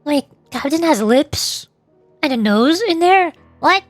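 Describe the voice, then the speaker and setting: A woman's voice: high voice, lively intonation, takes it slowly, dry recording, soft background music. A woman streamer giving chatty, rambling, casual commentary on a game. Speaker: streamer, VTuber.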